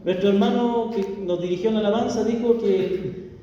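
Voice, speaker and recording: A man's voice: sits high, normal speed, warm tone, a little hiss or hum. A man from Chile with a Chilean accent, a pastor preaching a chatty, joking sermon full of rhetorical questions to the congregation. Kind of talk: conversational sermon. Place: church hall. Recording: echoey church hall from far off, dull.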